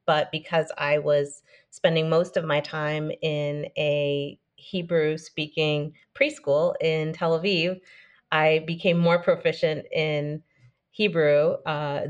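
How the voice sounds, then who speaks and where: A woman guest on a marketing podcast, answering in a thoughtful, measured way. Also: low voice, lo-fi sound. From born in Denmark, raised partly in Israel and the United States. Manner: thoughtful, measured, some restarts. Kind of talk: conversational interview.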